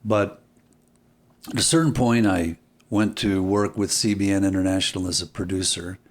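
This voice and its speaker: A man speaking as an interview guest, reflective and deliberate, often pausing and repeating phrases for emphasis. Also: low-pitched, fairly even intonation. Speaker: podcast guest, filmmaker.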